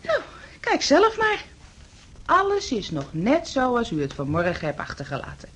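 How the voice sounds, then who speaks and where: An actress playing a placating but firm landlady in a radio play, coaxing and patronising, with a breathy voice. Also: a little high, huge pitch swings, measured pace, fairly bright tone, slight background noise, exaggerated voice. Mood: soothing, firm. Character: placating, firm landlady humouring an unwell male lodger. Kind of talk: Dutch radio play acting.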